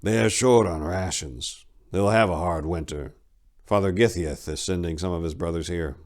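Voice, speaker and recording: A battle-hardened fantasy warrior monk voiced by a male narrator speaks from a low register. He is grim and terse, giving blunt, matter-of-fact answers as in a dramatic audiobook. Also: moderate pace, darkish tone, close to an everyday voice.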